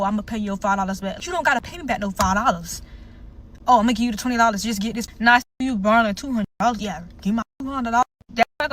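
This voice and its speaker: A woman's voice: mid-range voice, a bit bright, a little hiss or hum. A woman in her twenties from South Florida, an influencer ranting bluntly and profanely to her followers in a casual clip. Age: 20s. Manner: blunt, brash and profane, talking straight to followers. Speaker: social media influencer. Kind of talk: casual social media rant.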